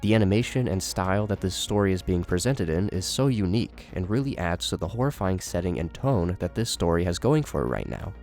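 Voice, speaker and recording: A man with a low voice narrates and comments on a horror series from a script. Faint music runs behind his delivery. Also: darkish tone.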